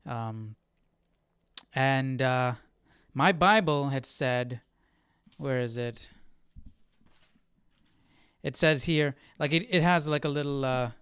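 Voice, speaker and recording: A man hosting a live Bible study in a casual, halting, conversational way, often checking in with listeners as he explains. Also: medium pitch, fairly bright tone, clear, resonant tone, no echo, studio quality. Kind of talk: casual livestream Bible teaching.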